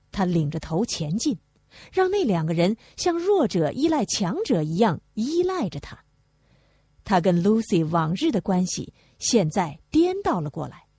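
A woman reads literary prose as an audiobook narrator. Her pitch moves expressively across each sentence, and her voice has a husky edge. The sound is dry, with little room to it. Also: darkish tone, dry recording.

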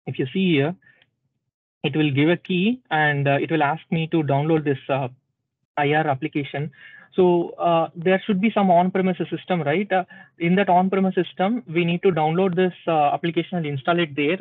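A man presenting a live software tutorial at a tech community session, explaining step by step with many "uh"s and "okay"s and checking in with "right?". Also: a little high, steady tone, moderate pace, dry recording. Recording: dry, thin phone-like sound.

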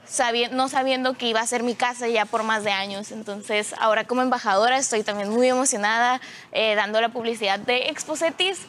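A woman's voice: on the high side, a bit bright, slight background noise. A woman, speaking as a student guest in a live TV interview. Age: 20s. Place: indoor television studio.

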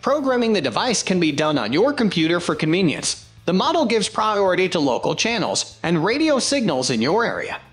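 A product review narrator reads from a script with lively, varied intonation. The voice sits at a middling pitch, with music playing softly behind it. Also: a little fast.

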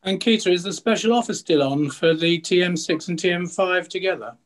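A man's voice: sits high, a little fast. An older man chiming in on a webcam call from home, emphatic and opinionated. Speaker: viewer asking a question. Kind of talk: video call comment. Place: home, indoors. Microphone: laptop webcam, video call.

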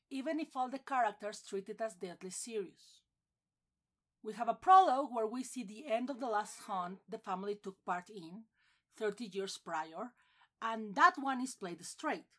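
A woman's voice: on the high side, a bit bright. A woman delivering scripted film commentary as a video essayist, with emphatic delivery and wry asides.

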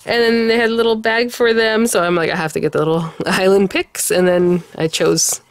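A woman's voice: medium pitch, fairly even intonation, brisk, clean tone, no room sound. A woman vlogger from California chatting casually and eagerly while showing off her shopping haul at a craft table. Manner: chatty and enthusiastic, rambling with fillers and laughs. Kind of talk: casual show-and-tell haul chat.

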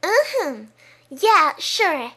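A woman voicing a sweet, earnest little girl in a game fandub, soft, childlike and pleading. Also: very high voice, sing-song, drawn-out words, pitched above the performer's own voice, full character voice. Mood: worried, sweet.

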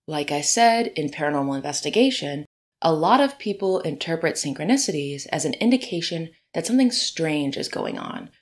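A woman with a slightly low voice, hoarse from the early hour, hosts her solo podcast. She talks in a loose, chatty way and wanders into side notes. She sounds like she is recording at home. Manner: chatty, conversational, with asides. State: hoarse and deeper in the morning. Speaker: podcast host. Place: home room, birds outside.

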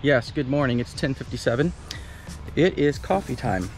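A man in his thirties giving a relaxed, chatty step-by-step demonstration outdoors at a campsite under a canopy tent. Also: medium pitch, normal speed. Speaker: vlogger. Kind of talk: casual how-to demo. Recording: background music under voice. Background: music.

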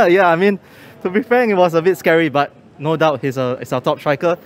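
A man's voice: fairly high pitch, fairly quick. A young man with a Singaporean accent, a football fan giving casual post-match opinions into a handheld phone outdoors. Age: young adult. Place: outdoor stadium entrance. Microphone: handheld phone held toward him. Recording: noisy outdoor phone recording.

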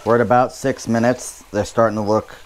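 A man's voice: lowish voice, level tone, a little fast, rough recording. A man hosting a home cooking show, talking through each step in a casual way with dry, wry asides.

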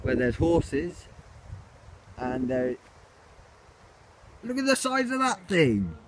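A teenage English boy vlogging outdoors on a phone held at arm's length, chatty and excitable with his friends. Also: fairly high pitch, takes it slowly, low-quality recording. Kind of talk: casual vlog to camera. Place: outdoors in fields on a windy grey day. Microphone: phone camera held at arm's length.

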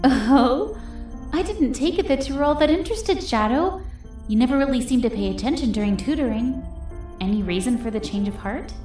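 A woman voicing a gentle, hopeful young girl in an animated comic dub, earnest, soft-spoken and wistful. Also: high voice, moderate pace. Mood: curious. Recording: echoey voice under background music.

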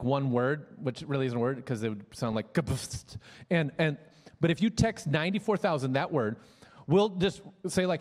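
A man in his forties, a pastor from Minnesota, making church announcements on stage with a handheld mic, chatty and full of restarts. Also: a little high. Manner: conversational, many restarts and stammered repeats. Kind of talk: church announcements and guest introduction. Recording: long hall reverb.

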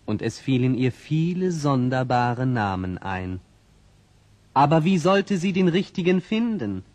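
A man's voice: medium pitch, very animated, a little slow, darkish tone. A man narrating a fairy tale in a scripted, old-fashioned children's audio play. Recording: old vinyl record, faint noise.

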